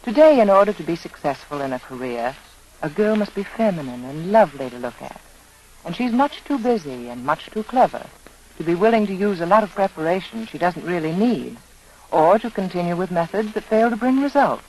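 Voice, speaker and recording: A woman's voice: lowish voice, expressive, a little fast, a little mellow, slight background noise, low-quality recording. A woman spokesperson delivering a scripted 1940s radio advertisement on an old broadcast recording. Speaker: commercial spokeswoman.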